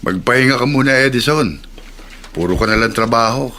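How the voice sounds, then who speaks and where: An actor playing a friendly, encouraging household coworker with an informal, coaxing manner, in a Tagalog radio drama. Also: male voice, mid-range voice, a little fast, sharp tone, soft background music, natural voice.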